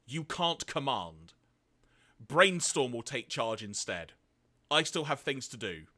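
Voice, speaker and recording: A man's voice: medium pitch, very animated, takes it slowly, pushed and bright, exaggerated voice. A man voicing a firm robot leader who gives orders in a curt, authoritative manner. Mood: stern, commanding.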